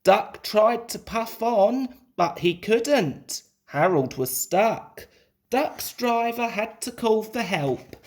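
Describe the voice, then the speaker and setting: A woman reading a children's storybook aloud in an expressive, deliberate way. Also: medium pitch. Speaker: storybook reader.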